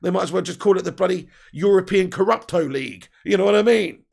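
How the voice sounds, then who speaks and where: A young man hosting a football fan live stream, reading out viewer comments in a heated, sweary rant, his voice croaky and tired. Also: sits high, fast talker, darkish tone. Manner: heated, sweary ranting with laughs. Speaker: football fan channel host.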